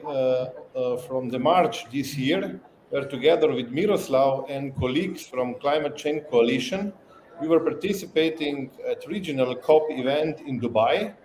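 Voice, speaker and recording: A man's voice: on the high side, darkish tone, echoey room, slight background noise, rough recording. A man joining a conference over a video call, speaking earnestly with many fillers. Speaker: presenter. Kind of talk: remote conference talk.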